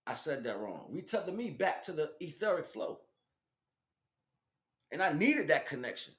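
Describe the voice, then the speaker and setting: An American man with a fairly high, very animated voice gives an informal spiritual talk. His delivery is emphatic and slangy, circling back on phrases, and the room adds clear echo. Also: normal speed. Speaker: spiritual teacher and stream host.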